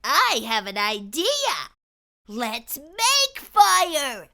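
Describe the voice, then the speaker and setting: Woman voicing an excited, squeaky-high little pumpkin boy. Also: very slow, vocal fry, heavily acted. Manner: exclaiming, explaining eagerly. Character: excited, clever little pumpkin boy who loves science.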